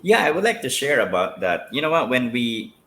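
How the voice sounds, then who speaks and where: A middle-aged man with a Filipino accent, a pastor answering interview questions in halting speech with many fillers and restarts. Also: medium pitch, very animated, bright, forceful tone, slight background noise. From Philippines. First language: Filipino language. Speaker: pastor, guest.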